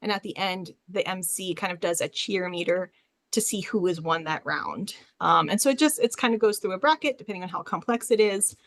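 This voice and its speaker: A woman's voice: on the low side, fairly quick, a bit bright, dry recording. A woman who is a librarian giving an informal presentation as a panelist on a webinar.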